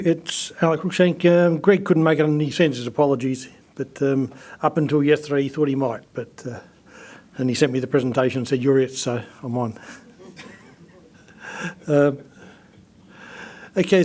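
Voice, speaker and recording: A man's voice: a little high, a little mellow. A man giving a conversational seminar presentation on behalf of a colleague, with frequent fillers and restarts.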